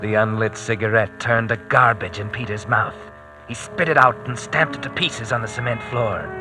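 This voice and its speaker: A man's voice: medium pitch, normal speed, lightly acted, rough recording. Man as hard-boiled radio narrator over music. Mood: grim, suspenseful. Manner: slangy, suspenseful. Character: hard-boiled mystery storyteller, knowing and grim. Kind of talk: 1940s radio dramatic narration.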